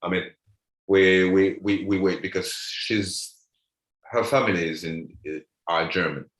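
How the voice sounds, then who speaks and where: Deep-voiced man. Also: steady tone, fairly bright tone. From France. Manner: halting, repeats words while searching. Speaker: comedian guest. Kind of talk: casual chat. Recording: roomy echo.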